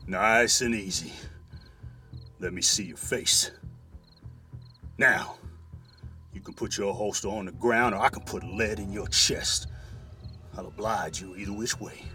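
Guy acting a low stern old west army sergeant, preachy and weary, music behind him. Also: measured pace, long pauses, pushed and bright. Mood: menacing calm. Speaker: actor in an audio drama. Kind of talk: Western audio drama acting.

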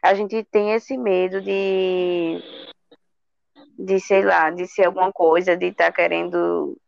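A singer from Recife, chatting easily as a podcast guest. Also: female voice, lowish voice, slow, creaky, no room sound, dull audio. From Recife, Brazil. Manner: relaxed, says "menino" and "sabe?". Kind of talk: casual interview chat.

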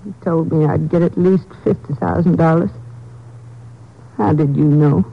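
An actress playing an ashamed, destitute old widow in a radio crime drama, halting and despairing in plain folksy speech. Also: female voice, low voice, fairly even intonation, vocal fry, slightly rough, exaggerated voice. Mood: subdued recounting. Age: older. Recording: muffled vintage radio drama recording.

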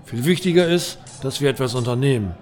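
A man's voice: mid-range voice, expressive, fairly quick, darkish tone, faint music behind, lightly acted. Fantasy radio play knight, male actor: stern, commanding, declamatory, very varied intonation. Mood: grave, resolute. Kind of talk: comedic fantasy audio drama acting.

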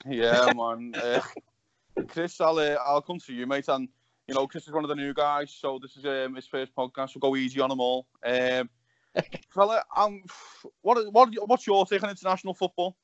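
A man hosting a podcast in casual chat, halting and repeating his words as he goes. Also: mid-range voice, fairly bright tone, no echo, highs cut off. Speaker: podcast host.

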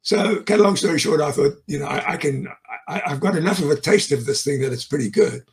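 A man's voice: fairly high pitch, quick pace. An older man from South Africa, a guest telling his business story in a chatty, rambling way with frequent chuckles and fillers. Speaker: guest, mortgage business owner. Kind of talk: casual interview chat.

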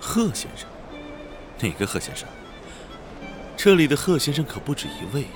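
Radio drama role: arrogant protective young gentleman, sarcastic, threatening, extremely varied intonation, music underneath. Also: on the high side, very animated, normal speed. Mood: scornful. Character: haughty, hot-tempered protective rich young man. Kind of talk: Chinese radio drama acting.

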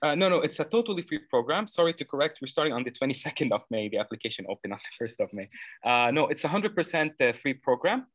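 A man in his thirties from Lebanon, answering interview questions earnestly and at length, with many fillers and quick self-corrections. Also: a little high, very animated, fairly bright tone, no room sound. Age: 30s. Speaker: program founder interviewed as guest.

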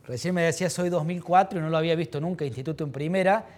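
An Argentine man interviews in a casual sports-show style. His voice sits slightly high for a man and he talks fast, tossing in brisk questions and remarks. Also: darkish tone.

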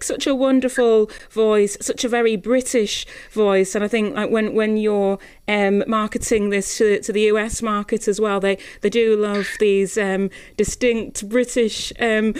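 A woman's voice: mid-range voice, a little mellow, clean tone. A woman hosting a casual radio interview, appreciative, often repeating words as she forms her questions.

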